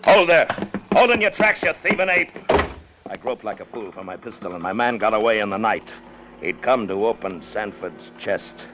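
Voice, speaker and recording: An actor playing a hard, cunning first officer on a whaling ship in clipped, tough 1950s radio drama style. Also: male voice, fairly low pitch, animated, normal speed, noisy background, natural voice. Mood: menacing, demanding. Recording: muffled old radio recording with music.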